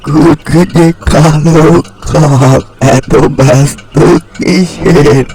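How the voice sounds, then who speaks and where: A man voicing an inquisitive old grandmother in an animated fairy-tale cartoon, with drawn-out, familiar questioning. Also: on the high side, low-quality recording.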